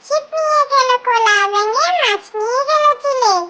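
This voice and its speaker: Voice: very high-pitched, moderate pace, pushed and bright. A tiny childlike voice reciting nursery rhymes in a sing-song way, on a very noisy track with clicks.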